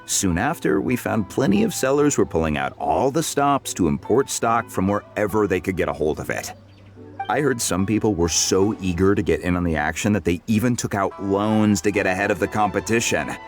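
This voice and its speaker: An actor playing a genial, gruff merchant in a video game, talking in a wry, businesslike way. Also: male voice, fairly low pitch, animated, normal speed. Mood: wry, weary. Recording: game music behind the voice. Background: game music.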